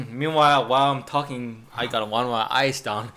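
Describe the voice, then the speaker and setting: A man's voice: mid-range voice, steady tone, moderate pace, pushed and bright. A man in his thirties whose first language is Chinese, an art teacher chatting casually while drawing, with lots of restarts and laughter. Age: 30s. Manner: rambling, restarts, laughs often.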